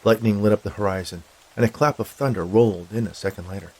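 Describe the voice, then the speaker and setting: A man's voice: on the low side, quick pace, a little mellow, poor audio. A man reading a scripted story as its narrator.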